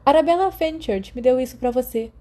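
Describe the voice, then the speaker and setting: A woman's voice: sits high, a little fast, dark tone, voice pushed up, natural voice. A Brazilian woman voicing an eager, friendly young schoolboy in a storybook reading. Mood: curious.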